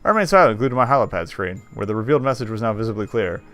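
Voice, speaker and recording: A man's voice: on the low side, animated, rapid-fire, a bit bright. A man reading game text aloud and adding wry, casual commentary as a streamer.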